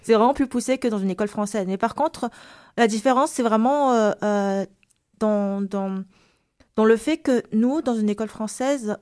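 A woman's voice: fairly high pitch, normal speed, a little mellow. A woman from Madagascar with a light Malagasy accent in her French, answering interview questions casually and hesitantly, with many fillers and repeated words. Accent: slight Malagasy French. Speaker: guest.

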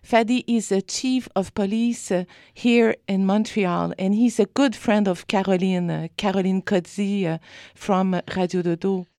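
A woman hosting a radio interview for children, with halting, hesitant phrasing. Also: medium pitch, steady tone, dark tone, no echo, clean studio sound. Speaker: radio show host.

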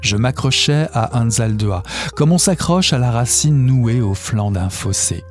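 A radio show host, a man, slowly and lyrically reading literary excerpts aloud. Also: mid-range voice. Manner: slow, measured, lyrical reading. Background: soft music.

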